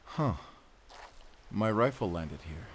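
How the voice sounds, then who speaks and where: A deep-voiced, weary wolf hunter played by a man in an audio drama. He speaks quietly and thoughtfully, with measured compassion, over faint background noise. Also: lively intonation. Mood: weary, bittersweet. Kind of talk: cinematic audio roleplay acting.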